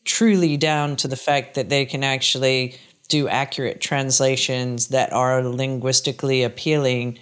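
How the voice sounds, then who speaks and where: A man's voice: medium pitch, flat delivery, normal speed, a bit bright, smooth. A man hosting a podcast interview, asking long run-on questions in a conversational way. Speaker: podcast host.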